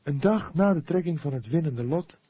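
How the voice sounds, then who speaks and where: Man voicing an earnest young woman, pitched high. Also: expressive, moderate pace, mellow, slightly rough, dry recording. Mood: solemn. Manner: patient, solemn, emphatic. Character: earnest young woman, devout, defensive former captive.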